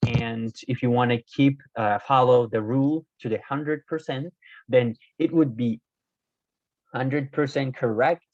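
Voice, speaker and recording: A man whose first language is not Korean, explaining Korean grammar in a casual video lesson, hesitant with many fillers and self-corrections, his voice a little hoarse. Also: mid-range voice, fairly even intonation, unhurried, darkish tone, no room sound. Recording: dull, dry lo-fi recording.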